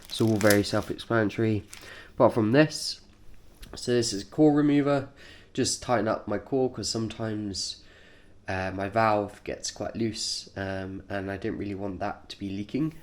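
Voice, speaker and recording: A man with a nasal voice, congested from a cold, talking through his cycling kit informally and haltingly, in short offhand phrases. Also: lowish voice. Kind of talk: informal vlog, kit walkthrough.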